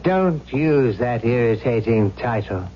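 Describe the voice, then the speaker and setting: A weary, irritable Prince of Darkness holds forth with theatrical self-satisfaction in an old radio play. His pitch is mid-range and his delivery is slow and deliberate. The recording is muffled, with a light hiss and hum. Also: lively intonation. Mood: irritated, dismissive. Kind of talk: vintage radio drama acting.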